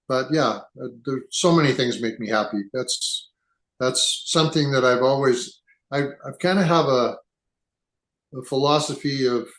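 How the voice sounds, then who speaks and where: Reflective older man in an echoey room. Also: mid-range voice. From England. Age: 70s. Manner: thoughtful, rambling with frequent restarts. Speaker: podcast guest. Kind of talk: relaxed conversational interview.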